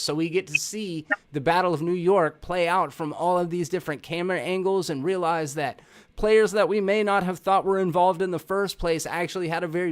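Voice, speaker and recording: Casual, slangy man with a higher-pitched voice. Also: smooth. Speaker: podcast host. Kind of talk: casual movie review chat.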